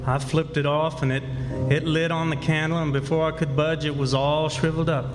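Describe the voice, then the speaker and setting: A man's voice: fairly high pitch, little pitch movement, a little fast, lightly acted. Guy as country kid telling his story on a radio drama, folksy bad grammar, music behind him, very echoey hall. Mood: confiding. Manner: folksy, ungrammatical, rambling storytelling. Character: Huck as narrator, superstitious plainspoken country boy. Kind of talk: first-person radio drama narration. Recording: echoey hall, live stage performance. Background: music under the voice.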